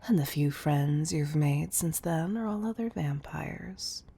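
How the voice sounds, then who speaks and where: A woman's voice: sits low, measured pace, creaky, dark tone, breathy edge, heavily acted. A woman playing a condescending billionaire CEO who gives commanding tough love in an intimate audio roleplay. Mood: condescending pity.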